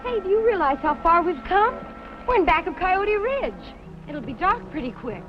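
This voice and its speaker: Muffled old radio adventure with loud music behind. a very high-voiced young ranch girl, eager and quick to take charge, speaking briskly and excitably. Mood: earnest. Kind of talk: 1950s radio drama acting.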